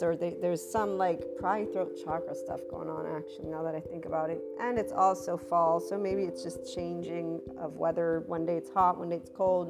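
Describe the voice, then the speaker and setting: Woman host, slightly rough low voice, chatty and tangent-prone, over loud music. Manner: rambling, chatty, with asides. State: slightly rough, deeper voice than usual. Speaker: spiritual podcast host. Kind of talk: casual monologue.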